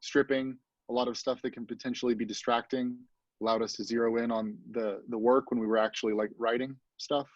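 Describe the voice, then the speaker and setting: A man's voice: mid-range voice, flat delivery, fairly quick, no room sound. A man reflecting casually and a little hesitantly as a theatre panelist on a video call. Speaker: theatre actor and deviser, panelist. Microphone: video call, close dry sound. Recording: slightly dull, dry video-call audio.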